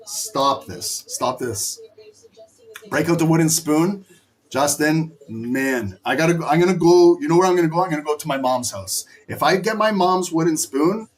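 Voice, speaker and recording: A middle-aged man with a slightly high voice, worn hoarse from talking loudly. He teaches a live trading session with emphatic, scolding energy. He is animated and keeps pushing the lesson forward. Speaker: trading instructor.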